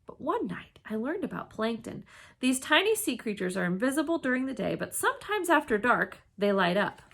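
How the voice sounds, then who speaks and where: Female storybook reader, slightly high pitch, swooping expressive delivery, dramatic emphasis for young listeners. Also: a little fast, creaky. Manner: dramatic, with emphatic exclamations and hushed suspense. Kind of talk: expressive children's read-aloud narration.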